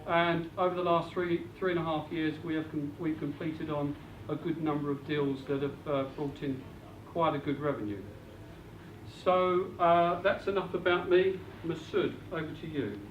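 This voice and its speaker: Man, high voice, seminar presenter, muffled lo-fi recording, faint noise. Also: normal speed. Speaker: property investment speaker. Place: event hall. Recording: roomy, distant, dull lo-fi with faint noise.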